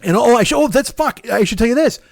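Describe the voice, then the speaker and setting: A man's voice: very high voice, expressive, quick pace, vocal fry, no room sound. Profane, exasperated man, dry close mic. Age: 40s. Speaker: podcast host. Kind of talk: comedic storytelling monologue.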